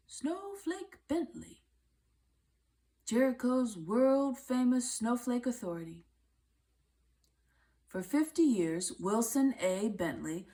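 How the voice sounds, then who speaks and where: A woman reading a children's picture book aloud as narration, with measured, deliberate phrasing. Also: fairly low pitch, creaky, mellow. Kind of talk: scripted read-aloud narration.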